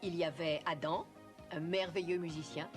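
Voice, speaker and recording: A narrator telling a scripted children's story in an animated film. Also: medium pitch, moderate pace. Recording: clear music bed under narration. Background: music.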